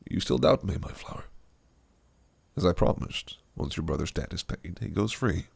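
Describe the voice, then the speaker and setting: A man playing a deep, gravelly fae prince. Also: animated, moderate pace, heavily acted. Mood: teasing. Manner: silky, archaic, coaxing turning menacing. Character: possessive, scheming male fae prince bridegroom. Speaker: voice actor. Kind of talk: audio roleplay acting.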